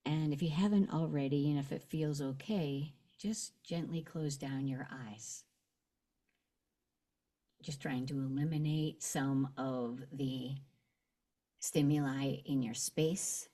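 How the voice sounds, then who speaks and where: Slow, low-voiced woman leading gentle meditation. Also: fairly even intonation. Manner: gentle, unhurried, with long pauses. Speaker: guided meditation leader. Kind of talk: slow guided meditation and visualization.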